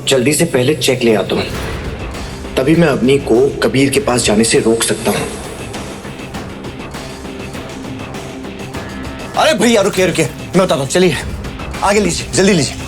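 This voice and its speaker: An actor playing a determined, commanding young runaway prince in a Hindi audio drama. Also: male voice, medium pitch, animated, a little fast, over music, close to an everyday voice. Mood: urgent.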